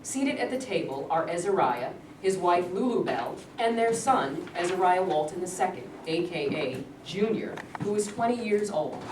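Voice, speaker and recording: A woman's voice: lowish voice, animated, moderate pace, few pauses. A woman reading out stage directions as scripted narration at a staged play reading. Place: live staged reading in a room. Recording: echoey distant mic, clear noise.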